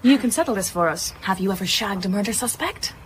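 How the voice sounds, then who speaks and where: A woman's voice: medium pitch, lively intonation, fast talker, close to an everyday voice. Actress as teasing tart book club woman, radio drama, mid voice, slight background noise. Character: tart, provocative female book club member. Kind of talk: naturalistic radio drama acting.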